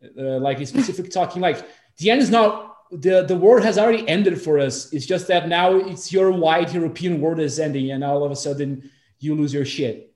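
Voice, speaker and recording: A man with a Brazilian accent speaks in a casual group discussion, stopping and restarting often and filling gaps with "uh" and "like". His voice sits a little high for a man. The room around him is echoey. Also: a little mellow. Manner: halting, many fillers and restarts. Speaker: discussion participant.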